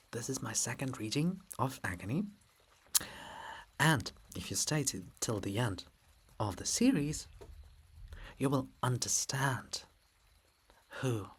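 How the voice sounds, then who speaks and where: A man narrating a play with measured, theatrical delivery and intimate asides to the listener. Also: medium pitch, husky. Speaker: narrator of a dramatic play reading.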